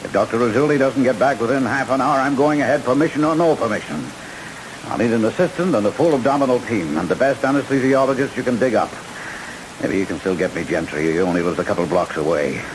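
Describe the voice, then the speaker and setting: An actor playing a gruff, commanding senior surgeon with a temper in a radio drama, speaking brusquely and emphatically. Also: male voice, medium pitch, fast, natural voice. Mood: urgent, commanding. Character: controlled senior surgeon turned raging, gruff boss. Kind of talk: old-time radio drama acting. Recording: hissy old radio broadcast recording.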